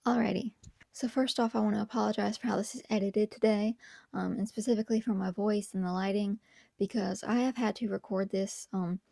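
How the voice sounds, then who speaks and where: A hoarse, tired woman whispering at home at night, chatting casually as host of her own channel. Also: medium pitch, dry recording, clean studio sound. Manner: whispering softly; apologetic, tired but upbeat. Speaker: video essay host.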